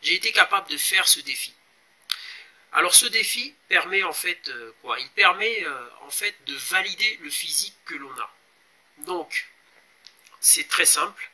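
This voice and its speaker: A man in his forties from Congo talks through a fitness challenge in a casual vlog. His voice sits high and sounds very bright and forceful. He pauses often, fills gaps with hesitations and keeps urging viewers to take part. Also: animated. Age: 40s. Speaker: fitness vlogger.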